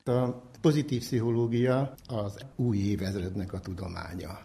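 An older man giving a measured, formal explanation as an academic expert. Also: lowish voice, fairly even intonation, vocal fry, mellow, a little hiss or hum. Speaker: psychology professor, interviewed expert.

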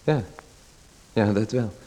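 A man's voice: fairly low pitch, takes it slowly, mellow. A man playing a meek, polite host in a radio play, who hesitates and gives in to his visitor. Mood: hesitant.

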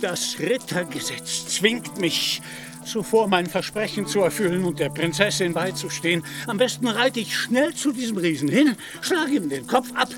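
A man's voice: high voice, animated, normal speed, music in the background. A man playing a pompous, deluded knight-errant in a radio play, speaking in a grandiose, solemn, declamatory way. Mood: lofty, solemn. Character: Don Quijote, pompous deluded knight-errant, lofty and devoted. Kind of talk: German radio play acting.

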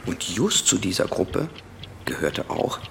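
An actor playing a sober, methodical investigator in a radio drama, laying out a conspiracy with probing questions. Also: male voice, low-pitched, big pitch swings, unhurried, gravelly, a bit bright, slight background noise, heavily acted. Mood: analytical. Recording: studio radio drama with music underneath. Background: music.

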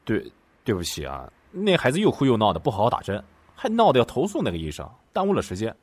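A man's voice: lowish voice, wildly swooping pitch, fast. A man playing a meek, flustered boyfriend in an audio drama, hesitant, stammering and apologetic. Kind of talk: Chinese audio drama acting.

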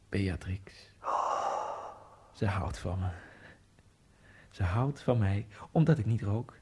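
A man's voice: lowish voice, dark tone, husky. An actor playing a lonely immigrant man obsessed with speed skating, narrating his thoughts in a wry, brooding radio-drama monologue. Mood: wry musing. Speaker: first-person narrator of a radio play.

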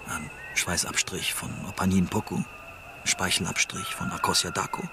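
Informal investigator, fairly deep voice, eager, hesitant, naturalistic radio drama. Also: male voice, level tone, normal speed, background noise. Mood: keen, suspicious. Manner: hesitant restarts, eager, chuckling. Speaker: actor in a radio play.

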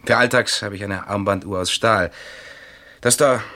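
A courteous young architect, puzzled at being summoned. His voice sits very low. He speaks in the style of an old studio radio drama. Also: normal speed, sharp tone. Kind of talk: 1970s German radio drama acting. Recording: vintage radio play recording.

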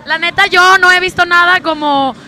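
A woman's voice: very high voice, steady tone, normal speed. A young woman with a Mexican Spanish accent co-hosting a podcast, joking crudely and casually. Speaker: podcast co-host. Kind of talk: casual chat. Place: outdoor pool party. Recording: outdoor party with loud music and crowd. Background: music and crowd.

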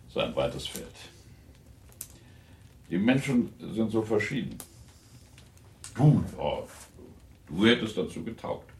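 A wry, genial older husband in a radio play, given to teasing and worrying aloud. The voice is very low and gravelly, rambling along with musing pauses. The recording is roomy, with faint noise behind it. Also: male voice, heavily acted. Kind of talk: German radio drama acting.

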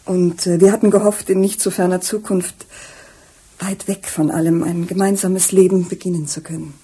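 A woman's voice: on the low side, flat delivery, moderate pace, warm tone, breathy edge. An actress playing an anxious married woman confiding a secret in a German radio drama, speaking hesitantly.

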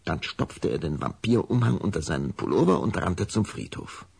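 A man tells a children's story as narrator, in a low voice with a raspy, creaky edge. His delivery is dramatic, with very animated intonation. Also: normal speed.

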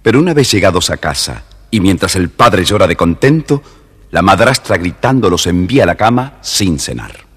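Deep, animated male storyteller. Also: moderate pace, slightly rough. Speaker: storybook narrator. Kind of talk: expressive scripted fairy-tale narration. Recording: noisy old storybook recording.

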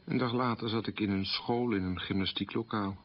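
An actor playing a sombre man recalling an abandoned wartime childhood in short, plain statements, in a low, husky voice for a radio play. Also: steady tone, fairly quick, close to an everyday voice. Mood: sombre, plaintive. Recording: dull lo-fi radio recording.

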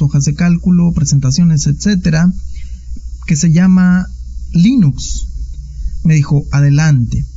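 A man's voice: on the high side, mellow. A man with a Mexican accent leaving a polite, formal voice message, with some fillers. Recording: dull lo-fi recording.

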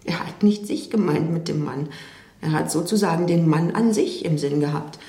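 An actress playing a reflective woman recalling a difficult man in a radio drama interview, speaking in a measured way. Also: low-pitched, mellow, reverberant, lightly acted.

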